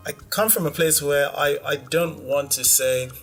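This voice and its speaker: A man's voice: a little high, fairly even intonation, moderate pace, a bit bright, faint music behind. A man from Nigeria hosting a casual film review, blunt and skeptical, with wry asides. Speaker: film critic host.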